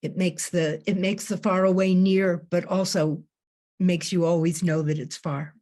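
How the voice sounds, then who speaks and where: A woman's voice: lowish voice, flat delivery, unhurried, dark tone. A woman co-hosting a panel who puts questions to the guests in a thoughtful way. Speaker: co-host. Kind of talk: moderating a panel.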